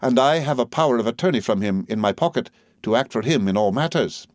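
A man voicing a good-natured, businesslike male agent in an audiobook, polite and quietly firm. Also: medium pitch, expressive, moderate pace, no room sound, studio quality, voice pushed up, close to an everyday voice. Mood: composed.

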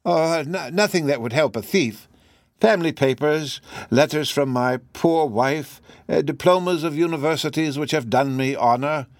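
A man's voice: a little high, moderate pace, no echo, voice pushed up, close to an everyday voice. A man voicing an elderly, scholarly professor in an audiobook, courteous and philosophical, speaking hesitantly with small stammers. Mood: defensive, hesitant. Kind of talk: audiobook character voice.

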